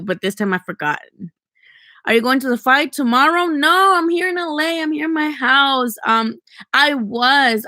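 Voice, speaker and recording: A woman's voice: a little high, a bit bright, dry recording. A young woman from Mexico with a Mexican accent, Spanish her first language, chatting casually on a livestream at home, opinionated, swearing freely and filling gaps with "um" and "like". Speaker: boxing streamer answering chat.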